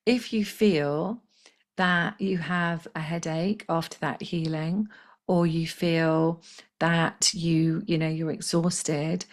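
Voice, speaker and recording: Low, slightly croaky woman healer. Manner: soft, gentle, chatty, reads out viewers' comments. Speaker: spiritual healer hosting a live session. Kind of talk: casual live-stream talk.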